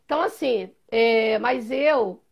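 A middle-aged woman speaks through a headset on a live video call from her home. Her voice sits high, and she chats warmly, laughing easily as she talks. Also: slow pace, creaky, husky. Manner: warm, chatty, laughs easily. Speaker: guest singer on a live stream. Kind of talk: casual video-call chat. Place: room at home.

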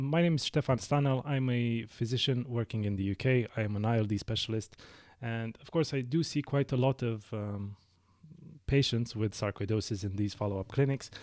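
A man in his thirties with a Romanian accent, hosting a medical podcast and explaining his clinical practice in a measured way, with frequent fillers and self-corrections. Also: mid-range voice, darkish tone, dry recording, studio quality. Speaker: lung specialist physician, podcast host. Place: small dark studio. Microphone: studio mic on boom arm.

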